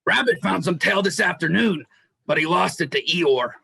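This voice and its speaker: A man's voice: high-pitched, expressive, normal speed, vocal fry, pushed and bright, slightly rough, full character voice. A man voicing a dry-witted storybook animal in a comedic stage play reading. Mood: dry, mocking.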